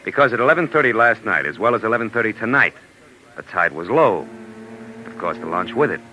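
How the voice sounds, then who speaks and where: An actor playing a tough, wry private detective in a 1940s radio drama, clipped and commanding. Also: male voice, fairly low pitch, sing-song, fairly quick, pushed and bright, a little hiss or hum, low-quality recording. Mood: calm, explaining. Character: hard-boiled, tough male private detective, brisk and wry. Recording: 1940s radio recording with music behind.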